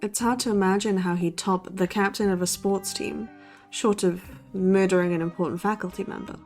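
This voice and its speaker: Actress as skeptical college girl cohost, dry wit, normal mid pitch, faint music behind. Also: fairly even intonation, normal speed, darkish tone, lightly acted. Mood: skeptical, wry. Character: dry-witted skeptical female college student podcast co-host. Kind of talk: conversational audio drama acting as podcast co-host.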